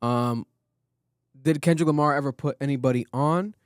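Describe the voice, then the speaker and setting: A man with a mid-range voice co-hosts a podcast in a casual, slangy way. He feels his way through points haltingly and tacks on little questions to check in. The recording is dry and free of room echo. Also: mid-range voice, clear, resonant tone. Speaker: podcast co-host.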